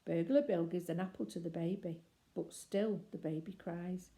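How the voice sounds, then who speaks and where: A woman storyteller reads a picture book aloud. Her voice sits a little low and has a relaxed, mellow tone. She phrases deliberately and expressively, pausing for emphasis. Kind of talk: expressive children's story reading.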